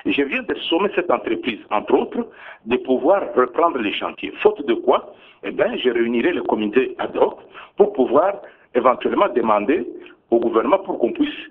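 Husky, insistent Congolese man, phone-like sound. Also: mid-range voice, sing-song, moderate pace. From Bunia, DR Congo. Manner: insistent, emphatic, repeats points. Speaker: government minister being interviewed. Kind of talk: defensive radio interview answers. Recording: tinny phone-like radio sound.

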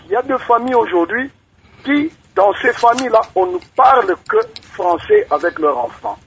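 A man's voice: extremely high pitch, very animated, moderate pace, vocal fry, fairly bright tone, breathy edge. A man with a Congolese accent calling a radio show and putting a question at length. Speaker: radio caller. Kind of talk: asking a question on air. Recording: dull telephone line on radio.

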